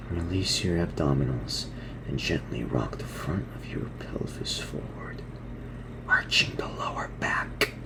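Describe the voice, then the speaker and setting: A man voicing a laid-back gorilla surfer dude who teaches in slang, in a gravelly, breathy half-whisper for an ASMR parody. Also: a bass voice, heavy background noise, exaggerated voice. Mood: soothing, guiding. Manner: surfer slang, soothing instructional delivery.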